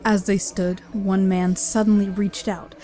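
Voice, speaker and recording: A woman's voice: medium pitch, level tone, unhurried, dark tone. A woman giving scripted narration of a horror story as its narrator. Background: music.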